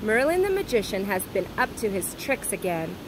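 A woman voicing a calm, mysterious old enchantress librarian in a children's storybook, measured and solemn. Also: mid-range voice, noisy background, lightly acted. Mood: calm, explaining.

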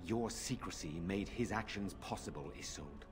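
A man's voice: lowish voice, normal speed, soft background music, lightly acted. A man playing a stern but fair nobleman, an uncle, in a fantasy game. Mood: stern, questioning.

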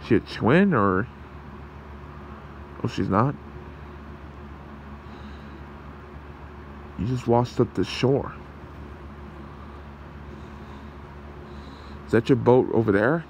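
Slow, creaky, gentle fox shapeshifter, acted by a man. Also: mid-range voice, a little hiss or hum. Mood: concerned. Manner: hesitant, soothing, reassuring. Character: gentle, protective male fox shapeshifter in human form. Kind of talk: ASMR roleplay.

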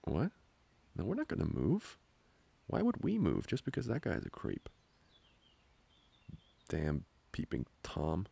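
A man playing a jealous, protective young boyfriend in an improvised ASMR roleplay, grumbling with halting, sarcastic asides. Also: wildly swooping pitch, mellow, heavily acted. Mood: indignant. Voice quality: very deep, gravelly, husky.

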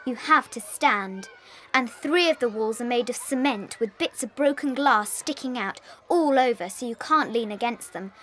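A woman's voice: fairly high pitch, animated, normal speed, fairly bright tone. An actress playing a tough older British schoolgirl in a dramatized children's audiobook, scaring newcomers with knowing, ghoulish relish.

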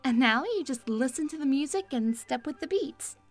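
A woman acting as a kind, encouraging young woman in an audio drama. Her voice sits fairly high and sounds gentle and reassuring, with faint music behind. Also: lively intonation, normal speed. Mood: playful, encouraging.

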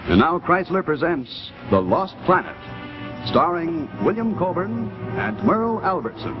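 A man's voice: on the high side, big pitch swings, unhurried, music in the background. An American man announcing on 1950s television with a polished sales delivery. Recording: dull 1950s TV kinescope, faint noise.

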